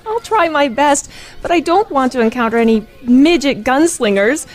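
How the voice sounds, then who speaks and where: A woman's voice: high-pitched, lively intonation, moderate pace. A woman playing a genteel, delicate, wistful young lady in an 1870s radio play, speaking with polite, formal period diction. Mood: wistful, timid. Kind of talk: old-fashioned radio play acting.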